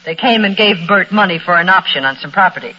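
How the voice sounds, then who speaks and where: A woman's voice: fairly low pitch, fast, pushed and bright. An actress playing a bossy, middle-aged scheming mother in a 1940s radio crime drama, curt and snappish. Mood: matter-of-fact, scheming. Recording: noisy, muffled old radio recording.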